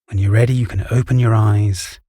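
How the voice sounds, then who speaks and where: A man guiding a relaxation meditation, giving calm, soothing, measured instructions. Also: lowish voice, dry recording, clean studio sound. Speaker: meditation guide. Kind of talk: guided relaxation meditation, scripted narration.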